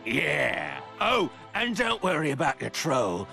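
Big brute monster goon in a cartoon game, creaky gruff voice, swingy pitch, music under it. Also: male voice, wildly swooping pitch, slow pace, bright, forceful tone, exaggerated voice. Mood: smug menace. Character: brutish male horned monster henchman. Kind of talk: animated game villain acting.

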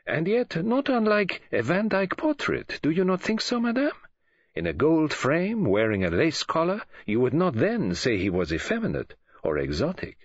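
A man's voice: fairly high pitch, huge pitch swings, normal speed, slightly rough, no echo, highs cut off, voice pushed up, heavily acted. A man voicing a courteous, soothing elderly foreign detective who speaks in a formal, unruffled, philosophical way, in an audiobook.

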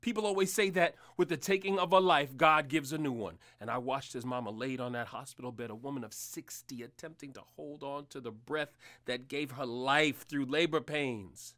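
Guy playing a defensive tormented husband in a radio play, solemn and pleading, bright tense voice. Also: mid-range voice, moderate pace, few pauses, natural voice. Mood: solemn, anguished. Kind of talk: dramatic audio drama acting. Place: small recording studio. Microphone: studio mic on boom.

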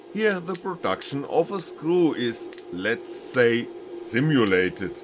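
A man's voice: mid-range voice, noisy background. A man reading scripted technical narration as a maker presenting his own project.

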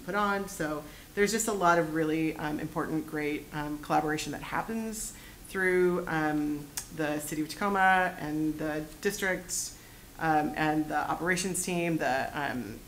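A woman's voice: low-pitched, slight background noise, low-quality recording. A middle-aged woman school board member, speaking at a desk microphone in a board meeting room, giving a casual, rambling report full of fillers with a Pacific Northwest American accent.

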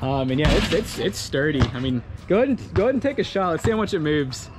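Joking young man, fairly high voice. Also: very animated, fast talker, loud music behind the voice. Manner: laid-back, joking, laughs often. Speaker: host. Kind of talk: casual DIY vlog. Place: outdoor paved lot beside a building.

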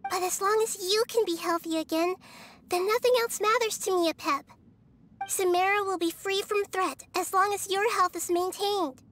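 High-voiced, gentle child goddess. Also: female voice. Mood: gentle, resigned. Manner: calm, earnest, carefully articulated. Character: gentle, wise, childlike little goddess girl. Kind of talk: scripted video game dialogue.

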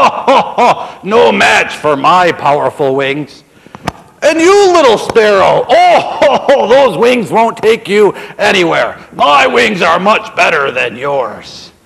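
A man voicing a proud, boastful male eagle who brags about his big wings and mocks the smaller birds with slow, booming laughs. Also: vocal fry, fairly bright tone, full character voice. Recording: long hall reverb.

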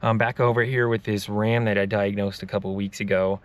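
Chatty low-voiced mechanic venting. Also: male voice, flat delivery, fairly quick. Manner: chatty, frustrated venting, explains repairs step by step. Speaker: mobile mechanic. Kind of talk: casual vlog talking to camera.

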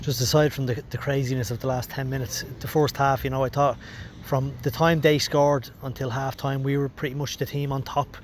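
Quick, even-toned young man. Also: medium pitch, slight background noise. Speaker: club interviewer. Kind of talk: post-match sports interview questions. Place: outdoors on a football pitch. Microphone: handheld recorder at arm's length.

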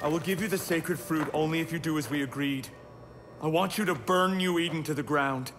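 A man's voice: a little high, a little fast, close to an everyday voice. A man playing a solemn, zealous, impatient traitor in a video game, earnest and insistent. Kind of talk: video game cutscene acting. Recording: long reverb, music behind.

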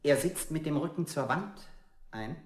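A relentless, coldly methodical interrogator in an avant-garde radio play. His voice is fairly high for a man and sounds in an echoey space. The questioning is formulaic and insistent. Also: expressive, a little slow, noisy background.

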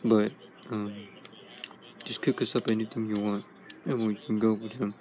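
Robot toy hero guy, a man doing it in his own voice, kinda low, rambly, lots of ums, faint background noise. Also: fairly even intonation, moderate pace, mellow, lightly acted. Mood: easygoing. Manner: rambling, many fillers.

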